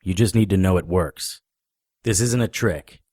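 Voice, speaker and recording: An actor playing a wry, world-weary male paranormal investigator, narrating a first-person noir audio drama in a deadpan manner. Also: sits low, a little slow, no echo, studio quality. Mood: calm, reassuring.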